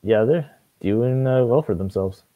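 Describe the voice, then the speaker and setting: A young man from Maine, a city council candidate, talking on a video call from a room at home, relaxed and hesitant, with many fillers. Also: medium pitch, measured pace, no room sound, narrow, tinny audio. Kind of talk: casual video call interview. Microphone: video call webcam.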